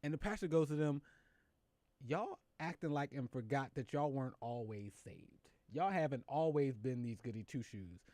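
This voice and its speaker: A male host talking through the week's news in a casual studio chat. His voice sits at an ordinary middle pitch, recorded close and dry. He gets animated and stumbles on his words when something excites him. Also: normal speed, no echo. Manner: animated, laughs often, stammers when excited. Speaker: show host.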